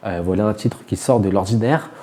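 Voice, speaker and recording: Very mellow, lowish-voiced French male interviewer. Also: a little fast. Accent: French from France. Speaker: radio interviewer. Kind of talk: prepared interview questions.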